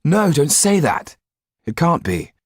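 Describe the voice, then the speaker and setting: A man's voice: a little high, sing-song, slow, breathy edge, no room sound, clean studio sound, pitched above the performer's own voice, full character voice. A man voicing a passionate, headstrong young male hero in an audiobook, with intense, impassioned delivery. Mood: angry, desperate.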